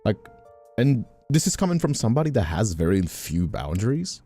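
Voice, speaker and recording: A man with a dark, mellow voice talks casually on a livestream over faint music. His pitch moves in lively swings as he rambles, stopping and repeating phrases while he works out what he means. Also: husky. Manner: rambling, halting, repeats himself. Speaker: streamer and content creator.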